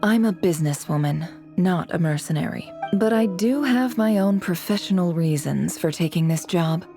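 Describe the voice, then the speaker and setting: A woman playing a shrewd, composed businesswoman in a video game, speaking in a measured, authoritative way. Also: lowish voice, animated, mellow, soft background music. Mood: cool, confident. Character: shrewd, composed businesswoman leading a secret ritual. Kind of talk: video game character dialogue.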